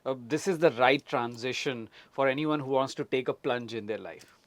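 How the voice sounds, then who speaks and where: A man's voice: mid-range voice, moderate pace, no echo. A man hosting a casual radio interview.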